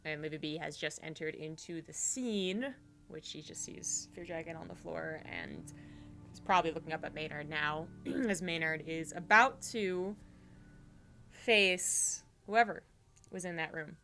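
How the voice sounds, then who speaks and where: A woman's voice: low voice, animated, measured pace, gravelly, bright, forceful tone, faint music behind. A woman narrating a tabletop horror game as game master, rambling with fillers and restarts.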